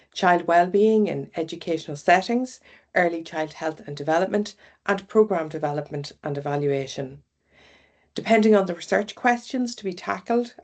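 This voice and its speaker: A woman from Ireland, a university psychologist, reading a clear, formal self-introduction. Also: on the low side, a little mellow.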